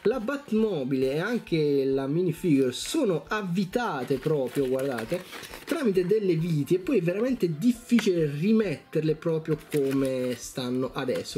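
A man's voice: on the high side, mellow, slight background noise. A man giving a casual, enthusiastic product review, chatting informally to viewers and often repeating himself. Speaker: toy reviewer, video host.

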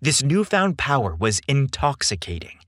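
A man narrating a scripted story as a podcast storyteller, with measured, theatrical and deliberate phrasing. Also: mid-range voice, big pitch swings, a bit bright, no room sound, studio quality.

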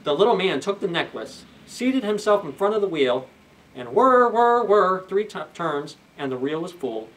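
A man in his thirties reads a children's story in a fairly high voice. He is expressive and pauses for asides to his listeners. The microphone sits a little way from him. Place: indoors, library children's room. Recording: slightly distant camera microphone.

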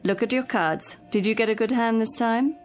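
A woman's voice: on the high side, normal speed, loud music behind the voice, natural voice. An actress playing a practical female companion in a radio drama, patient, coaxing and reasoning. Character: practical female companion soothing an elderly employer.

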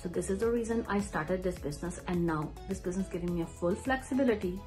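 A woman, a mother, giving a sincere, slightly halting testimonial close to her camera at home. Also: on the low side, a little mellow, soft background music. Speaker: mother running an online business. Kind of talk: promotional testimonial.

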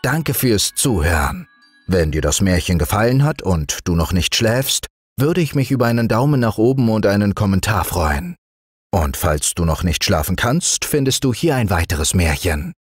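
A man reading a fairy tale aloud as a calm bedtime audiobook, soothing and expressive. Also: lowish voice, moderate pace, slightly rough. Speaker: storyteller reading fairy tales.

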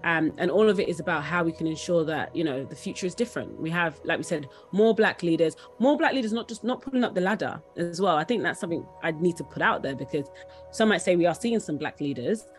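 A woman's voice: lowish voice, lively intonation, quick pace, faint music behind. A young woman speaking conversationally and enthusiastically as an interview guest on a video call, repeating words for emphasis. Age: young adult. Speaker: interview guest, company founder.

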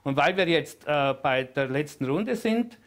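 A man's voice: a little high, animated, unhurried, fairly bright tone. A man in his fifties, a farmer and election candidate, speaking emphatically in a TV studio panel debate.

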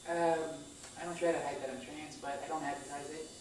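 A woman's voice: low voice, fairly even intonation, fairly quick, darkish tone. Echoey woman in her thirties reading onstage. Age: 30s. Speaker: performer at a staged reading. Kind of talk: scripted personal storytelling read aloud. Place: small indoor theater stage. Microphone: far camera microphone. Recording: echoey distant stage recording, faint noise.

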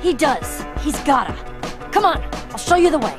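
A scrappy young warrior woman from an animated game. Her voice is high and lively, casual and candid, over a musical score. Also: normal speed, music in the background. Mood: determined. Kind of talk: animated video game voice acting.

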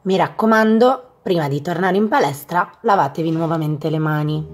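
A woman's voice: deep voice, normal speed. A woman reading scripted instructional narration, addressing young athletes in an explanatory manner. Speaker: gym staff narrator. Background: background music.

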